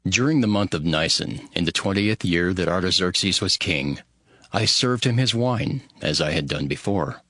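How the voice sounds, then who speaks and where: A man's voice: low-pitched, lively intonation, moderate pace, bright, forceful tone, dry recording, studio quality, heavily acted. A man playing a devout, sorrowful Hebrew cupbearer who earnestly narrates his own story in a dramatized audio Bible. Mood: anxious, respectful. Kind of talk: dramatized first-person scripture narration. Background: background music.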